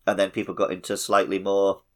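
A man's voice: deep voice, monotone, brisk, fairly bright tone. A man co-hosting a gaming podcast in a casual, conversational style. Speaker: podcast co-host. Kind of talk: casual game discussion.

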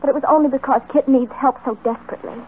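High-voiced, worried frontier wife. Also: female voice, fairly even intonation, racing, husky. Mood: pleading. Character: worried, pleading frontier wife, adult woman. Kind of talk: old-time radio western acting. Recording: muffled, noisy vintage radio recording.

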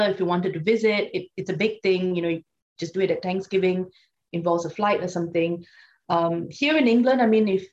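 A woman's voice: medium pitch, a little mellow. A woman, a law lecturer answering interview questions thoughtfully, with frequent fillers and restarts. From Singapore. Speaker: law lecturer, podcast guest. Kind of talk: conversational interview. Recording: dull lo-fi sound.

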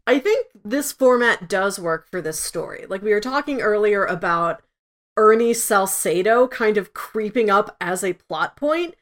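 A woman's voice: medium pitch, animated, measured pace, a bit bright. A woman hosting a podcast, explaining her opinions in an enthusiastic, casual conversational way. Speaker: podcast host. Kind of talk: casual review discussion.

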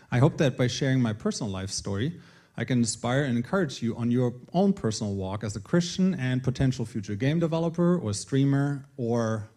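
A middle-aged man with a German accent speaks as a conference presenter. His voice sits at a medium pitch. He tells his personal story earnestly, with a light, self-deprecating humour. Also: dark tone. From northern Germany. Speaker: conference speaker, games creative director. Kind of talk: personal talk to an audience.

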